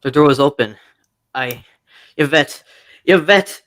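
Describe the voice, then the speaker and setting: A man playing a lovesick, hot-headed young gentleman in an amateur radio mystery play. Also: on the high side, measured pace. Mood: distraught.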